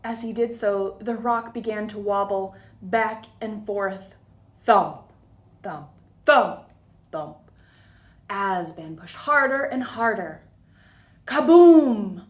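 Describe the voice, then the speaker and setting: A woman reading a storybook aloud to children, expressive and deliberate, with little asides to the listeners. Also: medium pitch. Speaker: children's story time reader.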